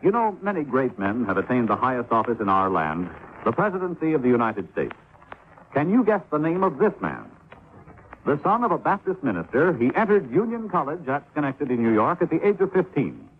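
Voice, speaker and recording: A man's voice: mid-range voice, big pitch swings, brisk. A man announcing a scripted educational spot in a quiz-like 1950s radio style. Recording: tinny, faintly noisy 1950s radio recording.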